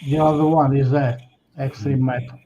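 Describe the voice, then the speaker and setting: A man showing his scale models on a live chat, explaining them in a halting way. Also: mid-range voice, little pitch movement, warm tone, a little hiss or hum. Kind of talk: casual show-and-tell. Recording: dull lo-fi livestream audio.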